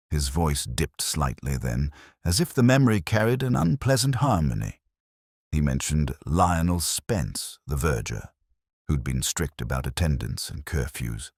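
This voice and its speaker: A man reading a scripted first-person detective story in a measured, literary, wry manner. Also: rumbling low, a little mellow, breathy edge, no room sound, studio quality. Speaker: narrator.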